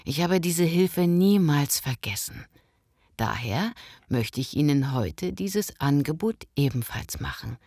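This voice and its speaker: Woman playing a low-voiced, courteous hotel manager. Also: lively intonation, slow, dark tone, dry recording, clean studio sound, exaggerated voice. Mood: kindly, formal. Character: kind, generous female hotel manager, courteous and composed. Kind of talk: scripted language-lesson dialogue.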